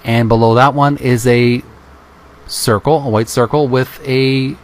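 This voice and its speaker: A man hosting a channel, reading a book aloud and adding informal descriptive commentary in halting, piecemeal phrases. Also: mid-range voice, fairly even intonation.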